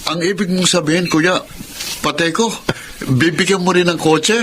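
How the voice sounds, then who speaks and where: Casting: man as college-age kid brother, grateful and tender, Filipino radio drama, slightly high voice. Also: steady tone, moderate pace, noisy background, close to an everyday voice. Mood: moved, grateful.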